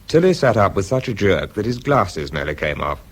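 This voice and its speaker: A weary, troubled British scientist telling his own story in a radio play. The voice is deep and husky, measured and confiding. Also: big pitch swings, exaggerated voice. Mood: wry. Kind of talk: dramatised first-person narration.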